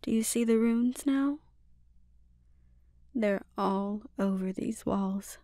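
A woman voicing a calmly dominant, taunting sorceress villain in an intimate ASMR roleplay, speaking deliberately and lapsing into breathy whispers. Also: fairly high pitch, dry recording, clean studio sound. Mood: taunting, menacing.

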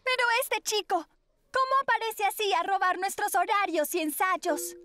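Cartoon teen girl, very high voice, theatrical, quick to take offence; faint music behind. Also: expressive. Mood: indignant. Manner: theatrical, exclaiming. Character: dramatic, indignant teenage schoolgirl rehearsing as Juliet. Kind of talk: cartoon dubbing.

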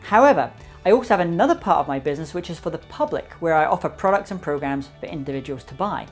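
A man's voice: a little high, animated, quick pace, soft background music. A man in his thirties giving a polished, emphatic scripted explainer to camera in a studio, speaking into a lapel mic. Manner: polished, emphatic delivery with gesturing hands. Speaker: business speaker and presenter.

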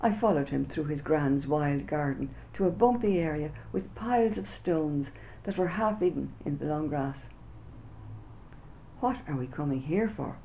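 A woman, an author reading her children's book aloud with lively storytelling, seated indoors before a camera. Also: low voice, normal speed, narrow, tinny audio, a little hiss or hum. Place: small indoor room before a backdrop.

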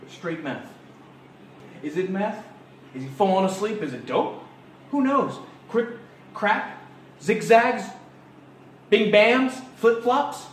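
Hyped man in a noisy, echoey recording. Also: mid-range voice. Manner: earnest, hyped, self-important tough talk. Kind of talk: rambling mock-interview to camera. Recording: noisy, echoey, distant camera microphone.

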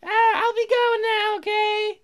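A man voicing a nervous, awkward young woman songwriter in a visual novel, with a hesitant, halting delivery. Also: very high voice, completely flat delivery, fairly bright tone, voice pushed up. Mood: uneasy. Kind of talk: visual novel character read-aloud.

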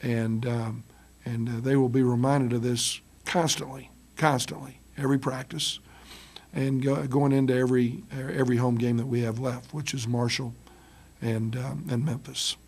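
A middle-aged man, a basketball head coach, answering reporters after a loss, halting with many ums and restarts, speaking into a table microphone. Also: medium pitch, level tone, creaky, darkish tone, breathy edge. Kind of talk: post-game press conference. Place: press room with curtain backdrop. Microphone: table mic on stand.

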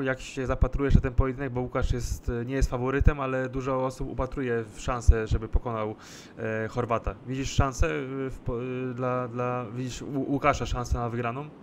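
A man's voice: mid-range voice, slight background noise. A male sports journalist asking long, winding questions over a video call, hesitating as he goes. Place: indoor room at home.

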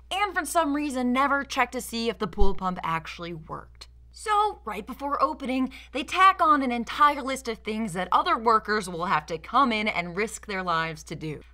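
A woman's voice: on the high side, sharp tone. A young woman giving scripted, sarcastic commentary as a video essayist, with a theatrical, mock-indignant manner. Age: young adult.

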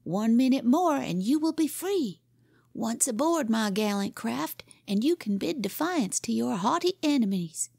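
A woman's voice: on the high side, expressive, a little slow, gravelly, a little mellow, husky, no room sound, studio quality, voice pushed up, full character voice. Woman doing a pompous boy pirate captain. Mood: grandiose. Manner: pompous, theatrical boyish oratory.

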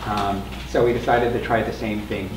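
A man's voice: on the low side, fairly even intonation, moderate pace. An older man moderating a panel, explaining things informally and conversationally in a meeting room. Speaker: interviewer and moderator of an oral history panel. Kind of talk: informal spoken introduction to a panel. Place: meeting room with a small audience. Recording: roomy, distant mic with clear background noise.

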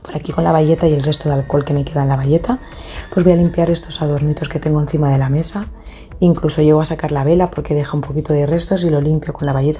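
Low, mellow-voiced woman narrating cleaning. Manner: chatty, detailed, with frequent restarts and fillers. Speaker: home cleaning vlogger. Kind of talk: casual voice-over narration of a clean-with-me video.

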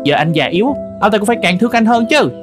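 A woman's voice: mid-range voice, lively intonation, very fast, natural voice. A woman voicing a crafty, friendly fox in a cartoon folk tale, chatty and confident. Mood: curious, sympathetic. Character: crafty, friendly, self-assured fox, loyal helper. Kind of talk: animated folk tale dialogue. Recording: music under the voice.

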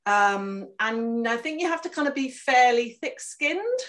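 A woman with a slightly high voice talks casually over a video call from her home. She is an author sharing tips and fills her sentences with ums. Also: animated, unhurried, fairly bright tone. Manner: chatty, many fillers. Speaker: author and networking guest.

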